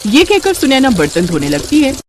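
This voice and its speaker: A woman's voice: sits high, huge pitch swings, brisk, slight background noise. A woman narrating a scripted family moral story as storyteller.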